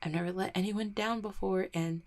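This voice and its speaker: A woman's voice: medium pitch, fairly even intonation, close to an everyday voice. A woman acting a guarded, heartbroken small-town mail carrier in an audio roleplay, stammering and blaming herself. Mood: defensive, guarded. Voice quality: husky, creaky. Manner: halting, stammering, self-deprecating. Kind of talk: roleplay audio drama, intimate one-sided conversation.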